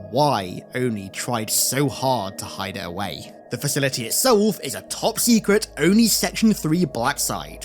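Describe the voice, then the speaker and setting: Lively male gaming video host over music. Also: medium pitch. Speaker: YouTube host recapping video game story. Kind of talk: energetic scripted video commentary.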